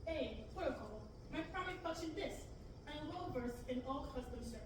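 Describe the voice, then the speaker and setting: High-voiced young woman reading in echoey room. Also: lively intonation, normal speed. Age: young adult. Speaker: amateur reader at a student table reading. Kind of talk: mock-Shakespearean script read aloud. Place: large indoor rehearsal room, far camera. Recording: distant camera in echoey noisy room.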